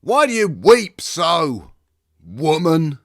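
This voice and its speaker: Man acting a rough, stern medieval archbishop. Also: fairly high pitch, huge pitch swings, takes it slowly, dry recording, clean studio sound, full character voice. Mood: stern, demanding. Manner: rough, demanding.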